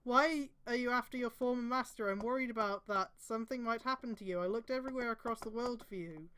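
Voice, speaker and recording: A woman's voice: on the high side, fast, a bit bright, a little hiss or hum, voice pushed up, natural voice. A woman voicing a young female ninja apprentice, an eager worrywart, earnest and pleading, in a fan fiction reading. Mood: worried. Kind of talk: fanfiction read-aloud dialogue.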